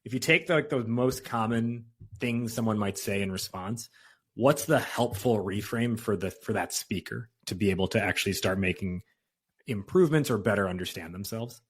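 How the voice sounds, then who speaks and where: Male podcast host; low, husky, casual and easygoing. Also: normal speed. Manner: easygoing, laughs along, frequent short acknowledgments. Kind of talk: casual interview.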